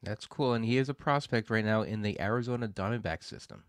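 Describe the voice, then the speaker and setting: A man co-hosting a podcast chats casually at a quick pace. His voice is slightly low, and the recording is dry. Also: smooth, no echo. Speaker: podcast co-host. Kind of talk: casual chat.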